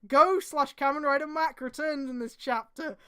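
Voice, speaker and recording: A woman's voice: sits high, fairly even intonation, normal speed, pushed and bright. A woman streamer reading aloud and adding exasperated, sweary mocking commentary.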